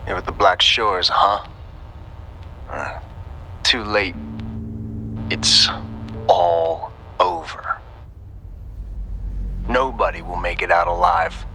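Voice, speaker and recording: A man's voice: low voice, slow, pauses often, bright, forceful tone, slight background noise, full character voice. An actor playing a bitter, fatalistic man who has given up, trapped in a ruin, in a video game. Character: despairing doomed man trapped in a ruined building.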